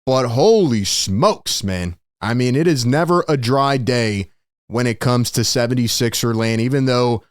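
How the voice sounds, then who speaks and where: Excitable male sports reaction host. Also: medium pitch, a little slow, clear, resonant tone, no room sound, clean studio sound. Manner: excitable, animated exclamations. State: slightly hoarse from yelling. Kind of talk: live reaction commentary.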